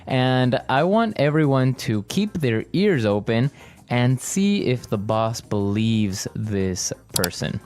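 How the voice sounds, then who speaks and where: A man co-hosting an English lesson podcast, upbeat and repeating phrases slowly for learners to copy. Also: medium pitch, expressive, darkish tone. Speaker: podcast co-host, English teacher.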